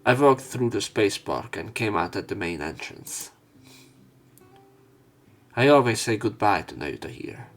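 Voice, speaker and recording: A man with a fairly low voice reads a visual novel aloud as a let's play narrator, covering both narration and dialogue. Game music plays faintly behind him. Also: animated, unhurried, long pauses, a bit bright.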